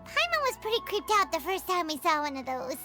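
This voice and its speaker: A woman voicing a small, chirpy, childlike fairy companion with a squeaky, exclamatory delivery in an anime game. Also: very animated, fairly quick, pushed and bright, faint music behind, exaggerated voice. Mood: chatty. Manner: speaks of self in third person, exclamatory.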